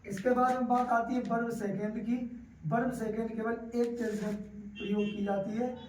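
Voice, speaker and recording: A man's voice: extremely high pitch, little pitch movement, normal speed. A man with a North Indian accent teaching grammar in an explanatory, didactic way, repeating key phrases for emphasis. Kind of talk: classroom grammar lesson, explanatory. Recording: roomy echo, distant mic, faint noise.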